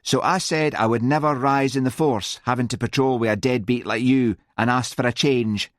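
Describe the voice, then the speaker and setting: A man's voice: mid-range voice, fairly even intonation, normal speed, dry recording, studio quality, pitched above the performer's own voice, lightly acted. A man voicing a sour, contemptuous policewoman in an audiobook, with a whining, sing-song Scottish accent. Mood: self-righteous.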